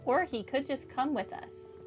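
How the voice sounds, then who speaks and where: A woman's voice: sits high, unhurried, music in the background. A woman hosting a gaming video, commenting casually as she plays.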